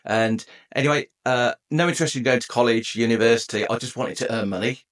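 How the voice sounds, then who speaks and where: A man's voice: medium pitch, fast talker, a bit bright. A man from England in his forties, a podcast guest telling his own story in a chatty, jokey way. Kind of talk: conversational storytelling.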